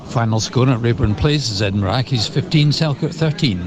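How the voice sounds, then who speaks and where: A man's voice: medium pitch, brisk, crowd noise. A man giving a scripted rugby match report as a reporter from an outdoor ground.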